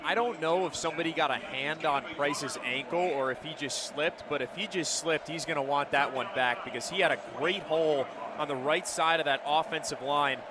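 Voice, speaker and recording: A man's voice: a little high, moderate pace. An American man giving conversational, opinionated color commentary between plays on a live football radio broadcast. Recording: clear stadium noise behind broadcast commentary. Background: outdoor stadium ambience.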